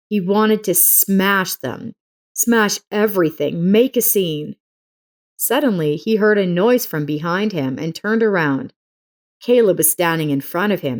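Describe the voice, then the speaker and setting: Lower-pitched woman narrating a story.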